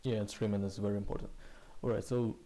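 A man presenting a programming tutorial in a casual walkthrough style, speaking haltingly in short, hesitant phrases. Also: on the low side, flat delivery, mellow. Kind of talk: casual screencast code walkthrough. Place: indoors, voice-over recorded with screen capture.

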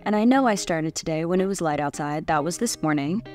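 A woman's voice: low voice, animated, brisk, darkish tone, faint music behind. A young adult woman chatting casually as a cooking vlogger, with a wry, self-deprecating manner. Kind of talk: casual chat while cooking. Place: home kitchen, indoors.

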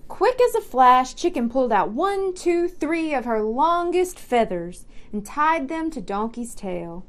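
A young woman reading a children's picture book aloud with expressive, deliberate storytelling delivery. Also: high-pitched. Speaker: library storyteller.

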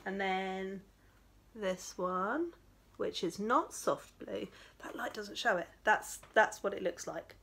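A woman's voice: medium pitch, takes it slowly, a bit bright, a little hiss or hum. Thirties lady, tired, chatty yarn vlog with lots of ums, small home craft room. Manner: chatty, rambling, with many fillers. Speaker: crochet vlogger. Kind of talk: casual chat, showing yarn.

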